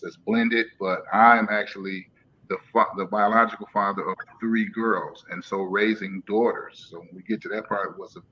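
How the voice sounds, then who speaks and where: A man of sixty-two from Detroit with an American accent, giving a measured, halting personal account as a panel guest. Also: lowish voice. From Detroit, Michigan, USA. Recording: dull lo-fi line with clear background noise.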